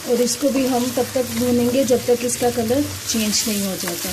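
Woman calmly narrating a recipe, higher voice. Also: normal speed. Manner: calm, plain instructions, softly murmurs a blessing before adding each ingredient. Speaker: cooking channel host. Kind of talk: step-by-step cooking narration. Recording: noisy kitchen recording with sizzling. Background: frying and sizzling in the pan.

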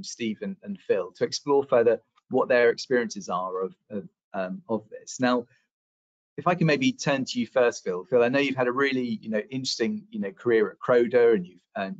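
A man's voice: fairly low pitch, dry recording. A man hosting and moderating a webinar panel on a video call, hesitant, with many fillers and restarts. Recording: dry dull webcam audio.

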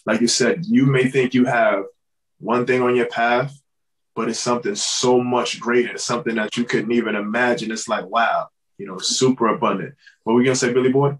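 A man's voice: mid-range voice, steady tone, a little fast, low-quality recording. A man in his thirties co-hosting over a video call from home, talking in a reflective, casual way with many "like"s and "you know"s. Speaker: podcast co-host. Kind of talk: casual podcast interview.

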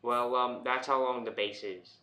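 Bright-voiced male gamer in echoey room. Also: mid-range voice, fairly even intonation, normal speed. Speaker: gamer in a co-op let's play. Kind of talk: casual gaming commentary with a friend. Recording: echoey room, faint background noise.

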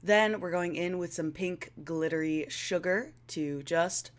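A woman's voice: fairly low pitch, unhurried. A young woman giving casual, rambling commentary as a video creator, playful and self-deprecating.

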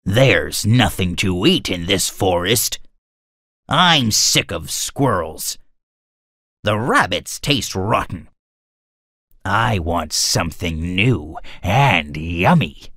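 Low, sly big bad wolf. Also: male voice, sing-song, bright, forceful tone, dry recording, studio quality, exaggerated voice. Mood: scheming. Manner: sly, menacing, drawn-out. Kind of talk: children's animated story acting.

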